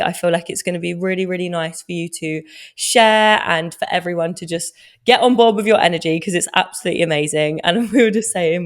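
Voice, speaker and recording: A woman hosting her podcast in a bubbly, gushing way, full of praise for her guest. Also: mid-range voice. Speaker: podcast host.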